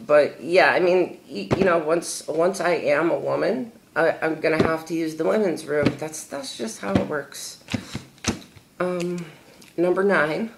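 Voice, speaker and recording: A young adult woman answering viewer questions in a casual vlog. She talks candidly and haltingly, with many fillers and repeated words. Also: sits low, slight background noise. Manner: candid and chatty.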